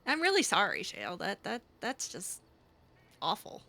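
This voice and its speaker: A woman playing a friendly, flattering elf mage in improvised game roleplay, with an informal, hesitant, coaxing manner. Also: medium pitch, normal speed, creaky, full character voice. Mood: sympathetic.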